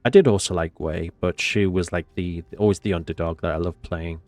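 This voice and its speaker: A man's voice: low-pitched, fairly even intonation, fairly quick, darkish tone, no echo. A man with a cold and a nasal voice, giving casual gameplay commentary in a rambling, hesitant way. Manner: rambling, hesitant with many fillers. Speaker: game commentator.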